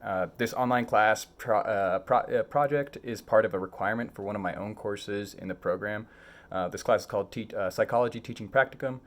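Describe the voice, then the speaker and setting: Earnest young man, low voice, teaching. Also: a little fast. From Mexico. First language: Spanish. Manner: earnest, frequent uh fillers and self-corrections. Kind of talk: online lesson introduction.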